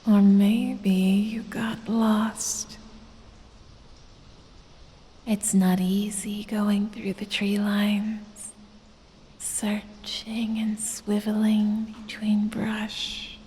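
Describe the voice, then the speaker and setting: Woman as a slow, whispery, predatory lamia temptress. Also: completely flat delivery, warm tone, a little hiss or hum. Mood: coaxing, teasing. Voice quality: breathy, whispery. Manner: slow, drawn-out, seductive teasing with low chuckles. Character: sultry predatory female lamia, teasing, coaxing, quietly menacing. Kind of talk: ASMR audio roleplay. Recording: long cavernous reverb.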